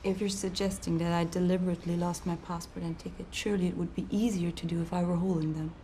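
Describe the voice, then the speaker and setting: Actress as mellow, composed, defiant woman under questioning. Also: on the low side, level tone, fast talker, slight background noise, natural voice. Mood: guarded, terse. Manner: composed, terse, cutting. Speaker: suspect being questioned. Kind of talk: naturalistic TV drama dialogue.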